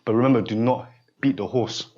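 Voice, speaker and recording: A man in his forties guiding a student through a one-on-one therapy session, speaking haltingly and often stopping to check that the listener follows. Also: medium pitch, highs cut off. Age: 40s. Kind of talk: unscripted one-on-one guidance session.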